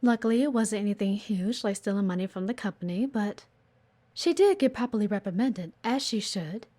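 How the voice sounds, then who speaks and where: A woman's voice: mid-range voice, lively intonation, brisk, dark tone, close to an everyday voice. A woman playing a blunt, guarded but earnest executive assistant in an audio roleplay, assertive and exasperated. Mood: earnest, admiring. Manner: exasperated, assertive, frequent sighs. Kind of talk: audio roleplay acting addressed to the listener.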